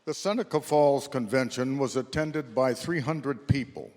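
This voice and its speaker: A man's voice: medium pitch, moderate pace, a little mellow. A man narrating a scripted history for a readers theater performance. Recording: echoey hall with long reverb.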